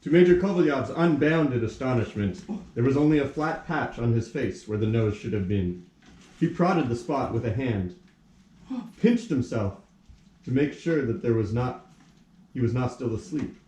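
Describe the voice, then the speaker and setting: A man with a dark, mellow voice narrates a puppet play like a storybook. He is recorded in a roomy, echoing space with faint noise. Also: mid-range voice, lively intonation, normal speed.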